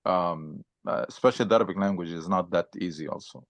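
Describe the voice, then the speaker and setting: A man teaching a study session and taking questions from his listeners. His voice sits fairly low, and the recording is dry and close. He explains patiently, step by step, filling pauses with ums and uhs. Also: dry recording. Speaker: religious teacher.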